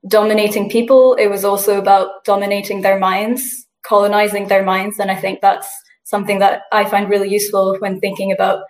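A woman's voice: mid-range voice, level tone, a little fast, echoey room. A woman of French and Indian background speaking earnestly and reflectively as a co-presenter in an academic seminar discussion. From France and India.

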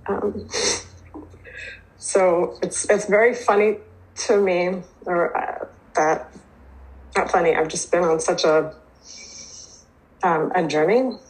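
Woman, native Hebrew speaker, low voice, hesitant reflective share. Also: low-quality recording. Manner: hesitant, many fillers and restarts. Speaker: twelve-step program member sharing. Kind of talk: reading aloud, then personal recovery share.

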